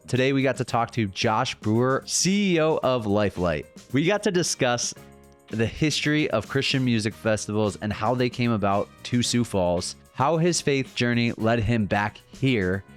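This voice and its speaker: A man hosting a podcast in a loose, chatty way, full of "like" fillers as he asks a guest casual questions. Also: mid-range voice. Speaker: podcast host. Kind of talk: casual interview chat.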